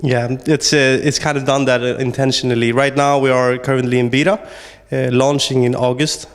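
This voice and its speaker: A man's voice: medium pitch, smooth, in a large hall. A man from Sweden, a startup founder, answering interview questions hesitantly with many fillers and restarts.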